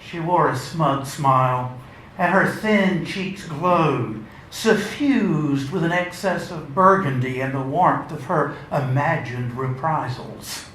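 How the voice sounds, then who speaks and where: A man giving a measured, theatrical and wry reading of literary fiction at a live event. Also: on the high side, a bit bright. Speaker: author reading aloud. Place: indoor launch event before an audience. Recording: roomy live event, faint noise.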